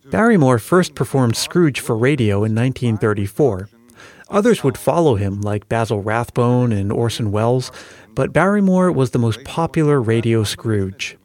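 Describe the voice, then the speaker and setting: A man's voice: medium pitch, animated, normal speed, darkish tone. American man hosting a scripted podcast. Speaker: podcast host. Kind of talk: scripted narration. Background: faint music.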